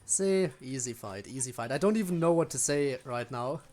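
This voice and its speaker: A man's voice: medium pitch, lively intonation, normal speed, a little mellow. A man streaming a video game, giving casual live commentary and thinking aloud as he plays. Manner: thinking aloud while playing.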